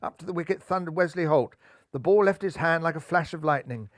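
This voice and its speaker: A man in his sixties reading a children's story aloud in a lively, theatrical way, seated in a living room with a lapel mic. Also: on the high side, fairly quick, dry recording, studio quality. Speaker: storybook narrator.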